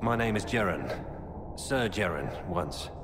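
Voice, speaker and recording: A grave former Templar. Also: male voice, lowish voice, lively intonation, a little slow. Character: grim former Templar man turned Qunari informant. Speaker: game character. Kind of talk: video game voice acting. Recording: game audio with long reverb and ambient noise.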